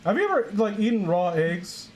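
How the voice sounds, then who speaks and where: A man's voice: high-pitched, very animated, moderate pace, a little hiss or hum. A young man casually reading fan fiction aloud as a host, breaking off for sarcastic, sweary asides.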